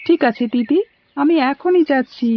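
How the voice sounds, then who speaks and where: A woman's voice: high voice, moderate pace. A woman voicing an eager, obedient little village boy, a younger brother in a cartoon. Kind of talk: cartoon voice acting. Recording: dull lo-fi audio with music.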